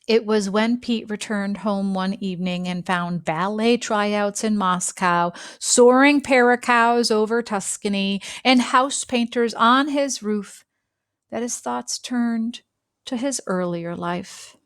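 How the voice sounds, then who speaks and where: A woman reading a children's picture book aloud with expressive, dramatic phrasing and deliberate pauses. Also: mid-range voice, smooth, no echo, studio quality. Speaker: storybook reader.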